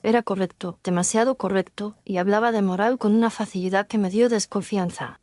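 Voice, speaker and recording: A woman's voice: mid-range voice, steady tone, brisk, a little mellow, no room sound, close to an everyday voice. Woman voicing a young, earnest touring actress. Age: young adult. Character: young, humble female touring actress, candid.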